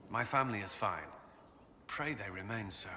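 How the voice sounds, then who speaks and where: An actor playing an earnest, guarded military commander in a video game, speaking in formal phrases with a burdened sense of duty. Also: male voice, on the low side, expressive, moderate pace, pushed and bright, long reverb tail, a little hiss or hum. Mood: reserved.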